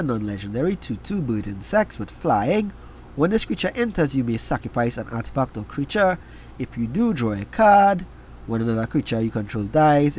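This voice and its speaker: A man with a cold and a raspy voice, reading out trading cards and giving quick verdicts on each. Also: medium pitch, a little mellow, a little hiss or hum. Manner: reads card text then reacts with quick verdicts. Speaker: card game video host.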